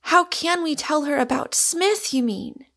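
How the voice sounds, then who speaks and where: A woman voicing an excitable, easily startled young woman. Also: high-pitched, measured pace, pitched above the performer's own voice, heavily acted.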